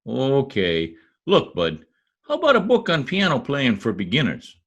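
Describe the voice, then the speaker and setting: An actor playing a brash, boastful, folksy husband in an old-time radio comedy, talking in cocksure slang. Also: male voice, mid-range voice, wildly swooping pitch, unhurried, fairly bright tone, muffled recording, heavily acted. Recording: video call recording.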